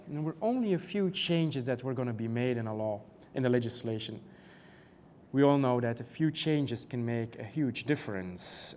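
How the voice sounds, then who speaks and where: A man's voice: medium pitch, a little mellow, slight background noise. European-accented man giving a panel talk. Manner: measured, many "uh" and "um" fillers, rhetorical questions. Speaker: panelist, canon law professor.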